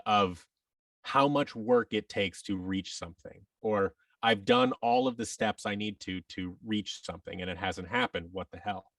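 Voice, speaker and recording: A man's voice: fairly low pitch, gravelly, a bit bright, no echo, distant mic. A man giving advice as a podcast guest in a casual, rambling, emphatic way, often voicing imagined quotes as he explains.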